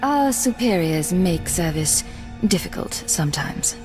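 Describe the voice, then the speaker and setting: A self-possessed female spy with a low, controlled voice in a long reverb. She is guarded, serious and clipped, a dramatic video game heroine. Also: animated, unhurried, darkish tone. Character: cool, resolute female secret agent, self-possessed and guarded. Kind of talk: scripted dramatic game acting. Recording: reverberant hall, music behind.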